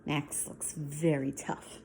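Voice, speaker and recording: A woman teacher reading a picture book aloud to young children, expressively, with softer asides to explain it. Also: deep voice, level tone, slow, a little hiss or hum, poor audio. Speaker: schoolteacher reading to young pupils.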